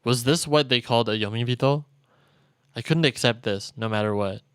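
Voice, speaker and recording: A man's voice: mid-range voice, fairly quick, smooth, dry recording. Solemn man reading out dramatic game narration. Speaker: streamer. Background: game music.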